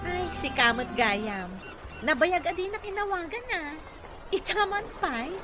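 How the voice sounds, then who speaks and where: A woman's voice: very high voice, animated, unhurried, over music. An actress with an Ilocano accent playing a mild, respectful female caregiver in a Filipino radio drama. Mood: friendly. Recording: noisy background ambience.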